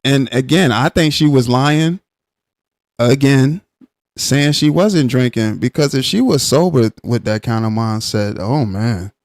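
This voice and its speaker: A man's voice: mid-range voice, a little slow, a little mellow, no room sound. A man hosting a live stream panel, firmly and insistently standing his ground in an argument and repeating his points. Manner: firm, insistent, repeats himself.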